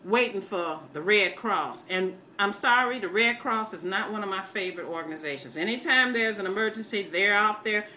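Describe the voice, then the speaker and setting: An older American woman with a bright, forceful voice at an average pitch, speaking off the cuff to her viewers. She is blunt and scolding, leaning on her words in a folksy, grandmotherly rant. There is noticeable noise behind her. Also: moderate pace, hardly any pauses, low-quality recording. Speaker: online ranter, self-styled grandma.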